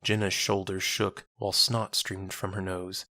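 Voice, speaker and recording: Low-pitched, bright male story narrator. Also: little pitch movement, measured pace, no echo, clean studio sound. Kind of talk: scripted dramatic story narration.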